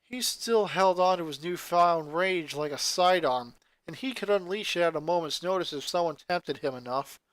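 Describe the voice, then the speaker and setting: A narrator reading a scripted story aloud in an expressive way. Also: mid-range voice, moderate pace.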